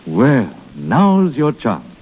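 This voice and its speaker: An actor playing a brisk, urbane schemer in a hurry in a 1930s radio drama. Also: male voice, medium pitch, huge pitch swings, slightly rough, exaggerated voice. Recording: tinny, noisy 1930s radio recording.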